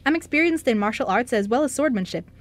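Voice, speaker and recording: A woman's voice: on the high side, expressive, fast talker. A woman playing a bubbly, impulsive young stuntwoman who gushes and rambles, in an amateur radio play. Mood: eager. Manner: gushing, rambling.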